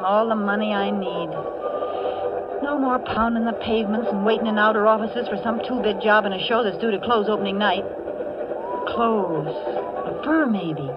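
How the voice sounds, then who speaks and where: A woman's voice: mid-range voice, natural voice. An actress playing a wisecracking, streetwise young American city woman, slangy and sardonic, narrating and acting in a 1940s radio drama. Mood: wry, wary. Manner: slangy, sardonic, tough-talking. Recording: muffled, very noisy old radio recording.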